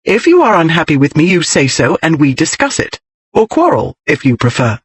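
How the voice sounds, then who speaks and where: A man voicing a spirited young noblewoman who is bold and defiant, speaking in a pert, insistent way with a husky tone. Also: on the high side, wildly swooping pitch, fairly quick, exaggerated voice. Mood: indignant. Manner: pert, insistent, rising to anger.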